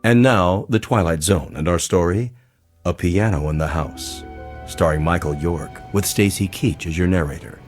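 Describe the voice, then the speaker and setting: A man's voice: low-pitched, normal speed, close to an everyday voice. A man as a solemn announcer, reading a scripted introduction to a radio drama. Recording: prominent music behind the announcement.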